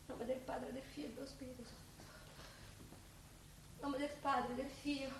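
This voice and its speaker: A woman's voice: high voice, flat delivery, moderate pace, close to an everyday voice. A woman acting in a Roman-dialect radio play as a devout, plain-spoken, stubborn working-class woman. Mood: pleading, solemn. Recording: echoey, distant, faint background noise.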